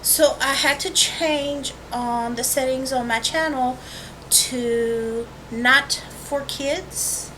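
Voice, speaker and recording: Woman, high-pitched, casual art vlogger, rambling asides, webcam microphone, slight background noise. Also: pushed and bright, low-quality recording. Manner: rambling, informal asides and self-corrections. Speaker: host of a watercolor painting channel. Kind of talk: casual, chatty vlog intro to an art tutorial. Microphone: built-in webcam microphone. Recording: faint noise on a webcam mic.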